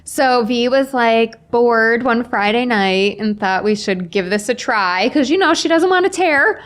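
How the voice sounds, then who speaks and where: Animated woman in her forties telling stories. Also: on the high side, unhurried. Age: 40s. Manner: animated, acts out quoted dialogue. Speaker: author, podcast guest. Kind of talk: casual anecdotal storytelling. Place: indoors, in-person podcast recording.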